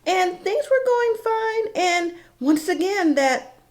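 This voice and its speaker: A middle-aged woman telling a personal story casually and candidly, in a conversational way with dramatic pauses. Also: very high-pitched, expressive.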